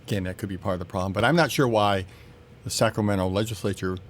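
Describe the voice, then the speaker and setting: A man hosting a talk show, interviewing a guest in a brisk, conversational way. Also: lowish voice, slight background noise.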